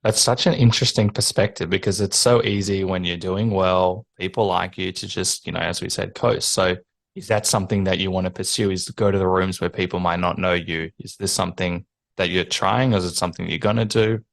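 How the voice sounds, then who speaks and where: Male podcast host, low register, dry close sound, conversational and encouraging. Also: fairly even intonation, brisk, vocal fry, no echo. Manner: enthusiastic, encouraging, rambling questions. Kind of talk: casual interview chat.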